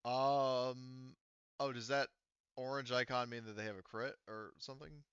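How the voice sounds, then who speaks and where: A man's voice: medium pitch, steady tone, unhurried, fairly bright tone, smooth, dry recording, muffled recording. A man casually narrating a tabletop game as game master, thinking aloud through the rules in an informal way.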